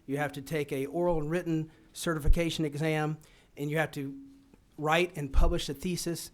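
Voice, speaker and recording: A man's voice: on the high side, steady tone, normal speed. A man, an eye surgeon, testifying before a legislative committee, hesitant with many fillers and restarts. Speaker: physician testifying.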